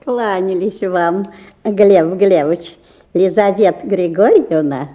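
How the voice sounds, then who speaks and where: An actress playing a coy, smirking village cook passing on messages in a radio play. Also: female voice, mid-range voice, slow, dull audio, lightly acted. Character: village priest's female cook, smirking messenger.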